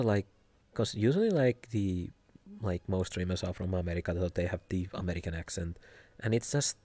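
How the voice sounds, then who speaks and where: A young man with a Finnish accent chatting casually on a live stream, soft-spoken and giggly, hesitating often. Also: deep voice, no echo. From Finland.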